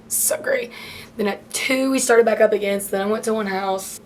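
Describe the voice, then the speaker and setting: Chatty hoarse young woman vlogging. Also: mid-range voice, moderate pace, a little hiss or hum. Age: late teens. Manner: chatty, rambling, many "like" fillers. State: hoarse, losing her voice. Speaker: college freshman vlogger. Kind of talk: casual vlog.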